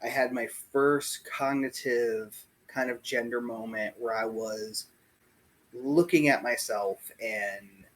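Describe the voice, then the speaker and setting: A man in his forties, born in Puerto Rico and raised in the US South, recalls his life in a casual video-call interview, pausing often and thinking aloud. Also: medium pitch, poor audio. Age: 40s. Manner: slow, reflective, pauses often with "um" and "like". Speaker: interview guest. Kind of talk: casual oral history interview.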